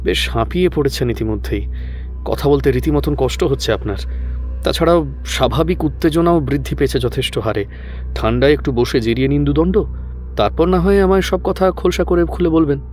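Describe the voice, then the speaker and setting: A man's voice: a little high, a little fast, darkish tone, faint music behind, voice pushed up, lightly acted. A man voicing a polite, reassuring younger tantric holy man in an audio story, speaking formally and earnestly.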